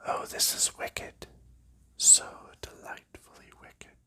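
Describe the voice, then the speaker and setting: A man softly narrating an ASMR unboxing in a breathy whisper, explaining things gently and without hurry, close to the microphone. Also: slow. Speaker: ASMR host.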